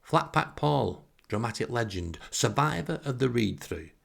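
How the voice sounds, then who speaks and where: A man's voice: mid-range voice, animated, measured pace, creaky. A man telling a first-person story in a casual, sardonic, self-deprecating way. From northern England. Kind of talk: wry first-person anecdote, casual narration.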